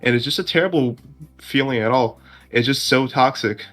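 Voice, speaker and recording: Bright-voiced man. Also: mid-range voice, normal speed, faint music behind. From Brazil. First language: Portuguese. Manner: earnest, with restarts. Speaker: podcast co-host. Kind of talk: casual chat.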